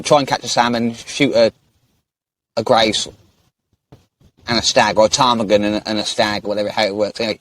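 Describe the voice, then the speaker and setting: A man hosting an interview podcast, chatting casually and rambling with frequent restarts in a friendly way. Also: medium pitch. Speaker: podcast host.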